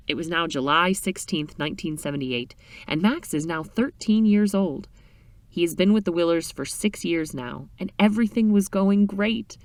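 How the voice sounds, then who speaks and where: A woman reading a short story aloud in an expressive, dramatic storytelling style. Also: lowish voice, no room sound, recorded from a distance. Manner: animated, dramatic reading with character voices.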